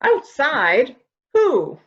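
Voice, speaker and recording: A woman's voice: high voice, wildly swooping pitch, drawn-out words, fairly bright tone, slightly rough, muffled recording, heavily acted. A woman acting a composed, skeptical member of a mansion household in a murder mystery reading. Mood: shocked.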